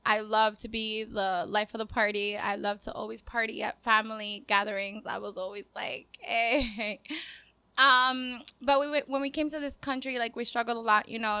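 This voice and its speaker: A woman's voice: on the high side, sharp tone, clean tone, no room sound. A woman in her twenties from Cuba, a dancer chatting casually as a podcast guest in an upbeat, talkative way. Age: 20s. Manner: upbeat, chatty, laughs easily. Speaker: guest, dancer and business owner. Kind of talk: casual interview talk.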